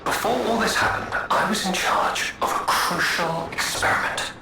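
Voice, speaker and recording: An actor playing a vain, charming male alchemist in a video game, florid, flattering and theatrical as he speaks through puppets. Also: high-pitched, normal speed, full character voice. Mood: boastful, playful. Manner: florid, flattering, self-pitying and playful. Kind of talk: dramatic video game dialogue. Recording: very echoey, with loud background noise.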